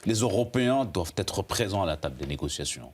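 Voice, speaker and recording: Man, news interviewer, lively intonation, slightly deep, prompting interjections, faint noise and clicks. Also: quick pace. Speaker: news presenter.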